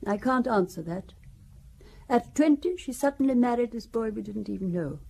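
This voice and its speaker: Genteel older widow for radio drama: highish, mellow, slightly husky, confiding and wistful. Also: female voice, expressive, normal speed, full character voice. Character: genteel ageing French widow, chatty and wistful. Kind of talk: audio drama acting, conversational storytelling.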